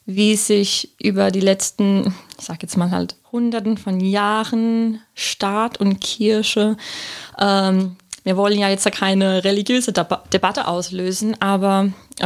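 Woman, Palatinate German accent, casual podcast co-host, chatty, restarts sentences. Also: medium pitch. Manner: chatty, thinks aloud with fillers and restarts. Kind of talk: casual chat about the Bible.

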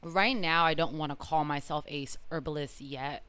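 A woman's voice: low voice, moderate pace, a bit bright, smooth, dry recording. A young woman, an herbalist in training, chatting easily as a podcast guest, with lots of likes and ums. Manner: easygoing, chatty, many fillers. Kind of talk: casual interview.

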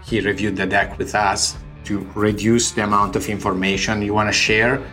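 A man's voice: on the low side, little pitch movement, moderate pace, bright, forceful tone, soft background music. A man from Italy with an Italian accent, a startup founder talking through his fundraising in an interview, explaining figures step by step. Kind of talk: recorded interview.

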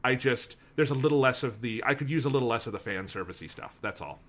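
A man co-hosting a casual review discussion, talking in an animated way and laughing as he tells a story. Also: mid-range voice, quick pace. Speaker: podcast co-host.

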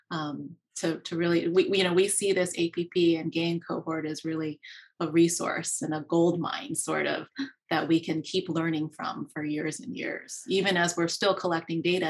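Woman researcher, low husky voice, earnest and quick, laughs lightly as she talks. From Maryland, US. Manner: earnest, fast, with small laughs and fillers. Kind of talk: conversational interview.

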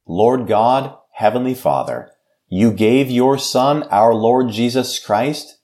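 American man, low voice, widely varied intonation, pastor, solemn formal devotional delivery. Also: a little mellow. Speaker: pastor and podcast host. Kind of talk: devotional scripture reading, short sermon and prayer.

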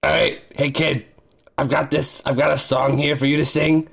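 Jokey gravelly man player. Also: on the high side, level tone, moderate pace. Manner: jokey and teasing. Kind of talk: casual tabletop play.

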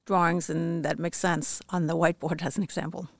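A woman's voice: on the low side, little pitch movement, a little fast, vocal fry, no echo, clean studio sound. A woman who heads a company department, talking as a podcast guest in a casual, thoughtful way with frequent restarts.

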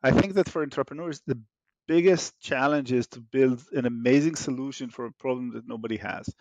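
A man's voice: medium pitch, fairly quick, no room sound, lo-fi sound. A man from Israel with an Israeli accent, a startup founder talking animatedly in an interview, restarting often with fillers. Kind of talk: casual interview talk.

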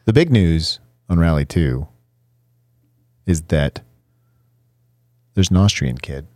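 Very deep, mellow-voiced man bantering. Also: lively intonation, no room sound, clean studio sound. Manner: joking, quick banter. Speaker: podcast co-host. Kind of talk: casual chat.

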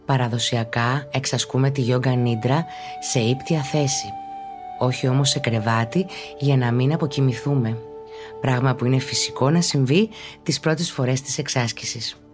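A woman calmly narrates a guided relaxation practice as a teacher, in a measured, instructional way. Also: very deep voice, music in the background. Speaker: yoga and meditation teacher, podcast host.

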